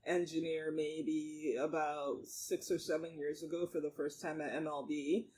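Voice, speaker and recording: A woman around forty, a startup founder talking as a guest in a casual interview, animated, laughing often and saying um a lot. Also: low voice, a little slow, poor audio. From Nigeria.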